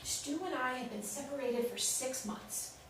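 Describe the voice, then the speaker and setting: A woman's voice: high-pitched, a little slow. A woman acting a direct, worried lawyer daughter who probes her family, in a staged play reading. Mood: tense. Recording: echoey hall, distant mic, faint noise.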